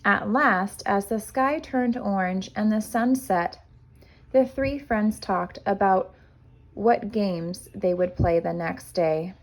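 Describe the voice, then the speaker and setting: Slow-paced woman reading a children's story. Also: medium pitch, poor audio. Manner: measured, simple delivery for young children. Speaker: kindergarten teacher reading aloud. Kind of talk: expressive children's storybook narration.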